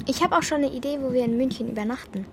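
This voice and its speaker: A streetwise young girl in a children's audio drama. She talks in a high voice, brash and sure she knows everything. Background ambience is clearly audible around her. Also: very fast, darkish tone, slight background noise. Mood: confident. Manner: brash, know-it-all. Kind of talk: children's radio play acting.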